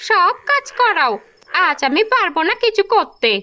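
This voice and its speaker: A woman voicing an expressive, dramatic village housewife in a cartoon. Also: extremely high pitch, normal speed, exaggerated voice. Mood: nagging. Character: village wives, from fond mother to sharp-tongued scold. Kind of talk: Bengali cartoon voice acting.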